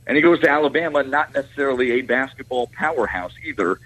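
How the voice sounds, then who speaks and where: A man speaking as a guest on sports talk radio, explaining his points in a halting, unscripted way. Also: medium pitch, lively intonation, fairly bright tone, dry recording, low-quality recording.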